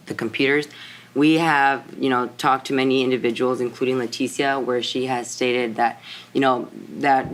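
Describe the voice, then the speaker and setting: A man's voice: mid-range voice, fairly bright tone, a little hiss or hum. A teenage boy presenter answering questions at length, earnest and wordy, with many fillers. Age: teens. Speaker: student presenter.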